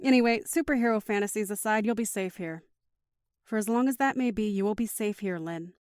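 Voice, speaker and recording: A woman's voice: medium pitch, brisk, a little mellow, clean tone, no room sound, studio quality, close to an everyday voice. A woman playing a caring, upbeat middle-aged mother in an audio drama, speaking in a chatty, reassuring way. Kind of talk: naturalistic audio drama acting.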